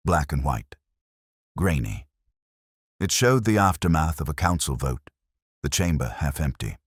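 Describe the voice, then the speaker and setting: A man's voice: very deep voice, dry recording, clean studio sound. A man narrating a scripted mystery story.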